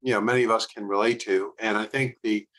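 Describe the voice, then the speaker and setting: A man's voice: lowish voice, steady tone, quick pace, a bit bright. A man hosting a discussion, commenting loosely between video segments, hesitant with many fillers. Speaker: host.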